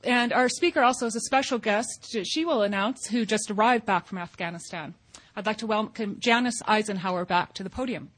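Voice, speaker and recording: A woman's voice: mid-range voice, a little fast, fairly bright tone, rough recording. A woman moderating a public affairs forum, making announcements in a businesslike way with some restarts.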